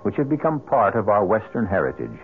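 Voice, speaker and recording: A man hosting an old radio show with grand scripted narration, ornate, deliberate and theatrical. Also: on the low side, wildly swooping pitch, slight background noise. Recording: muffled radio broadcast over loud music.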